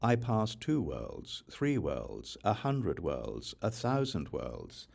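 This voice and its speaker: A man reads a sacred text aloud as an audiobook, in measured, solemn phrases with deliberate pauses. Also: low-pitched, huge pitch swings, creaky, darkish tone. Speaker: audiobook reader of scripture. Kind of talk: scripted reading of a Buddhist sutra.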